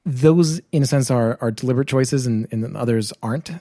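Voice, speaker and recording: A man hosting a podcast, thoughtful and unhurried as he talks his ideas through. His voice is mellow and dark, and the close mic sounds dry, with no room around it. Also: medium pitch, dry recording. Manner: thoughtful, works through questions aloud. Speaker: podcast host. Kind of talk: casual chat.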